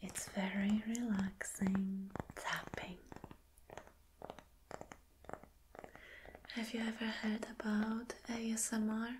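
A woman in her thirties playing a flirty, soothing boss in a soft, breathy, whispered ASMR roleplay, gentle and coaxing. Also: mid-range voice, little pitch movement, noisy background, close to an everyday voice. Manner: gentle, coaxing, slow and deliberate. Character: flirty, soothing female boss reassuring an employee. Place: small dim indoor room.

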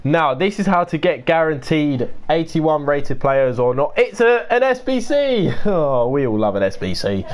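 Young man, croaky voice, casual game streamer, swears a lot, greets chat. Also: a little high, sing-song, measured pace, hardly any pauses. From United Kingdom. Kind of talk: casual gaming livestream chat.

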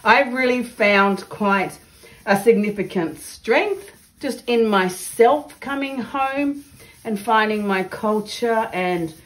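A woman's voice: a little high, rough recording. Australian woman, artist host, casual and effusive, home-studio demo. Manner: chatty, laughing, exclaims over her work. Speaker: mixed media artist. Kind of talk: casual craft demo chat. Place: home art studio, indoors.

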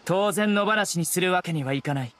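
A man's voice: high voice, a little fast, a bit bright, faint music behind. A man playing a stern young law enforcer in a Japanese video game, composed, blunt and commanding. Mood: stern, cool.

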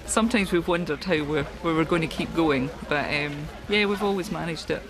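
A woman's voice: low voice, a little fast, background noise. A middle-aged woman, talking informally in an interview as a club volunteer. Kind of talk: casual interview. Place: open-sided tent at a sports field. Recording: loud music over outdoor interview.

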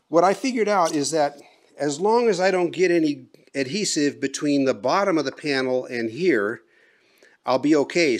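A middle-aged man talks through a hands-on DIY project in plain, folksy terms. He sounds like he is working in an open garage, picked up by the camera's own mic. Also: medium pitch. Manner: folksy, plain-spoken instructions. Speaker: DIY vlogger. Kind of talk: casual how-to narration. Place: open garage, then outdoors on a roof.

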